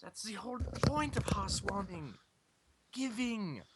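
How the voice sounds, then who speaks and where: A woman's voice: medium pitch, expressive, measured pace, heavy background noise, voice pushed up, lightly acted. A woman voicing pony princess sisters in a put-on German accent that slips toward French, as in a storybook. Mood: stately. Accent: mock German, slipping toward French.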